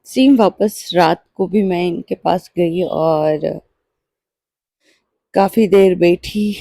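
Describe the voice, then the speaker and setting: A woman narrates her personal vlog reflectively. Her voice is dark and relaxed, with audible creak at the edges. The recording is close and dry. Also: lowish voice, dry recording. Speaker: daily vlogger.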